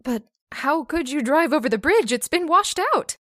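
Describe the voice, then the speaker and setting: Female actor as threatened heroine, high voice, close dry sound, melodramatic radio thriller dread. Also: lively intonation, moderate pace, fairly bright tone, no echo. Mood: distraught. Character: frightened, fraught woman threatened in a horror thriller. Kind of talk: old-time radio horror acting.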